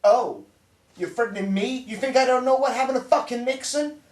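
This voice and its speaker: A man playing a profane, bullying spoiled son of a crime boss, in a dark comic drama. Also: very high-pitched, fairly quick. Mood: angry, taunting. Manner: profane, aggressive, ranting. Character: foul-mouthed bullying spoiled heir of a crime boss father. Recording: roomy echo, distant microphone.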